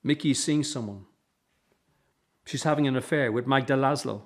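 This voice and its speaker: A man's voice: medium pitch, normal speed, lightly acted. A middle-aged husband and father in a radio play voiced by a man, worn down and full of regret. He talks in a subdued, resigned way, carrying old hurt. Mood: weary, worried. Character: weary, wounded estranged husband and father, regretful. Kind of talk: radio drama acting.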